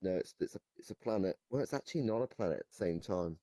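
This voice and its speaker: A young man with a very deep, somewhat mellow voice improvises comedy in casual chat. He speaks deadpan and hesitantly, and the sound is very dry, with no room echo. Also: quick pace. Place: online voice chat in a virtual reality game.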